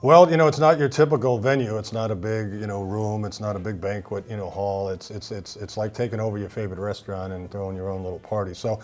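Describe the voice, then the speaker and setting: A man's voice: fairly low pitch, fairly even intonation, quick pace, hardly any pauses. A man with a Boston accent, a guest on a TV talk show, talking casually with lots of fillers and restarts. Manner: rambling, many fillers and restarts. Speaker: event center owner, guest.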